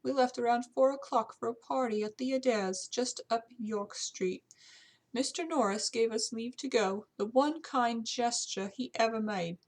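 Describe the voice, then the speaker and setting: A woman's voice: a little high, a little slow, pitched above the performer's own voice. A woman voicing a proper, tearful older English housekeeper with a put-on British accent, in an audiobook-style story. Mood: tearful, earnest. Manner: proper, deferential, old-fashioned servant speech. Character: tearful prim elderly English housekeeper, loyal servant.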